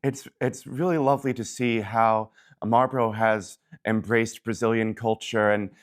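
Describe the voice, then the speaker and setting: Woman, TV host, very low voice, affirming, encouraging, interview questions. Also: steady tone, normal speed. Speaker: TV show host. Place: local TV studio.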